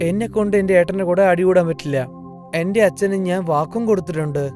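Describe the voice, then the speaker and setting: A man's voice: high-pitched, fast, a little mellow, natural voice. A man playing a loyal, good-natured younger brother, a humble farmer who speaks earnestly in a cartoon fairy tale. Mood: firm, hopeful. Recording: faint music under the voice.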